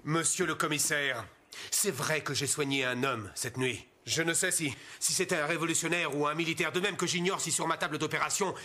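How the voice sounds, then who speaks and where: Bright-voiced, indignant, protective doctor. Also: male voice, a little high, brisk. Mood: defiant, controlled. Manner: firm, earnest protesting. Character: indignant, protective male doctor defying the police. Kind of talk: animated drama dubbing.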